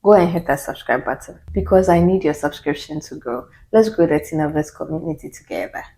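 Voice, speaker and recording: Ghanaian woman YouTuber, deep voice, calm and measured, chatty Q&A vlog. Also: low-quality recording. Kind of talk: casual get-to-know-me Q&A.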